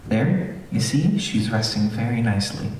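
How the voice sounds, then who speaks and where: A man's voice: mid-range voice, lively intonation, normal speed, close to an everyday voice. A man playing an earnest, easily flustered family doctor in an old-time radio horror play, recorded on a theater stage. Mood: soothing, firm. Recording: echoey theater, distant mic, faint noise.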